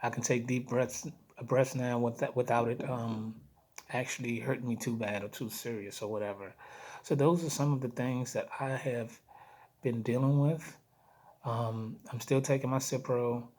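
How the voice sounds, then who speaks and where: A man with a hoarse, weak voice giving a casual video diary update, speaking haltingly and repeating phrases for emphasis. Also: medium pitch, fairly even intonation, a little mellow. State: hoarse, weak voice after throat surgery. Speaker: vlogger giving health updates. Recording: noticeable background noise.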